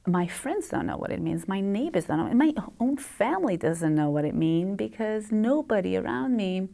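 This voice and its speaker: A woman, a talk-show guest, speaking English with many fillers and pauses that give way to quick, animated stretches. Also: mid-range voice, gravelly. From Israel. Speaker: guest, head of a support organization. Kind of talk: personal conversation on a TV talk show.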